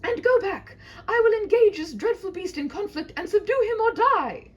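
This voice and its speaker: A woman's voice: extremely high pitch, animated, moderate pace, highs cut off, voice pushed up. A woman voicing a gallant, smitten male knight in a comic fairy tale, pompous and declamatory. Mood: alarmed, impassioned.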